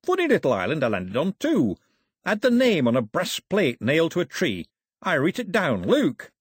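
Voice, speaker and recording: A man voicing a small, scruffy, cheerful ship's cook with humble, rough-grammared speech in an audiobook story. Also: on the high side, wildly swooping pitch, moderate pace, no echo, studio quality, pitched above the performer's own voice, exaggerated voice. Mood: marvelling.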